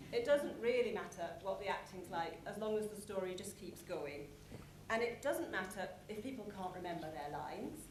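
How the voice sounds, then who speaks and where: A woman speaks as a presenter at a plenary session in a large hall. Her mid-pitched voice moves through explanatory phrases with deliberate pauses. The sound is roomy, with noticeable background noise. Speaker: conference presenter. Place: large conference hall with an audience. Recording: echoey conference hall, clear background noise.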